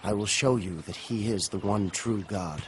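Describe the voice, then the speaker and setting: An actor playing a solemn, steadfast prophet in an animated film, speaking gravely and earnestly with command. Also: male voice, on the low side, normal speed, slight background noise, close to an everyday voice. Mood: grave, pleading.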